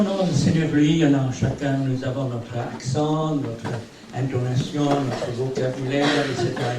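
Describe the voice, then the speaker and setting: An older man whose first language is English, preaching in a rambling style full of little asides and gentle self-mockery. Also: a little high, recorded from a distance. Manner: rambling, peppered with "eh bien" and "hein". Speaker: visiting preacher. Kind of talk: sermon. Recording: very echoey hall from afar, faint hiss.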